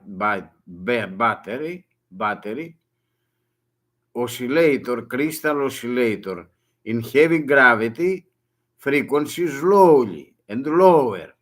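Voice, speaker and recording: An older man from Greece with a Greek accent, speaking halting, broken English as a second language and often repeating words in a monologue. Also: medium pitch, fairly bright tone. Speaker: retired merchant mariner.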